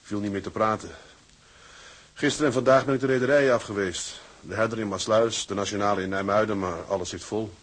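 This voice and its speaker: A man's voice: fairly low pitch, steady tone, quick pace, lightly acted. A man acting in a radio drama as an earnest, plain-spoken seaman out of work but hopeful. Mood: resigned, hopeful.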